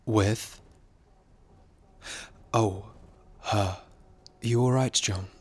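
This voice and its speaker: An earnest 1940s touring actor. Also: male voice, fairly low pitch, fairly even intonation, extremely slow, breathy edge, full character voice. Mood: tender, hesitant. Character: loyal, good-humoured male actor in 1940s touring company. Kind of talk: audio drama acting.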